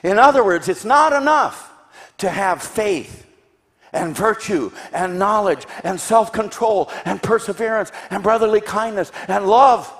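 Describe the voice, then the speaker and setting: A man in his sixties preaching a sermon to a congregation with emphatic delivery, rhetorical questions and deliberate pauses for stress. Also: high voice, expressive, fairly bright tone. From grew up in Tanzania. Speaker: guest preacher. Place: large church sanctuary, indoors. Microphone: handheld microphone. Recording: echoey church hall sound.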